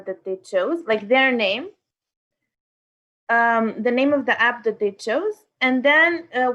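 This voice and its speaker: Higher-voiced woman presenting informally. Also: a bit bright, muffled recording. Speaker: workshop host and artist.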